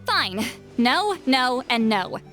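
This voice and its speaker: A proud, centuries-old female shaman from a video game, with a fairly high voice. She is haughty, curt and easily exasperated, her pitch moving animatedly. Music sits behind her. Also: very animated, takes it slowly, fairly bright tone, exaggerated voice. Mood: stern, irritated.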